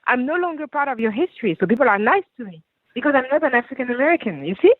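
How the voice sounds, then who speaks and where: A woman with a French accent explains her views patiently, restating points as she works through them. Her fairly high voice comes through a narrow, phone-like line that sounds dry and close. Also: very animated, rapid-fire, no room sound. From France. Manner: earnest, explanatory, rephrases as she goes. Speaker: guest writer and activist. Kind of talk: radio interview answers.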